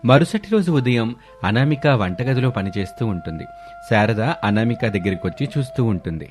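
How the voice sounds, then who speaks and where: A man's voice: medium pitch, lively intonation, moderate pace, a little mellow. A man reading scripted story narration as the narrator. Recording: music bed under voice. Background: background music.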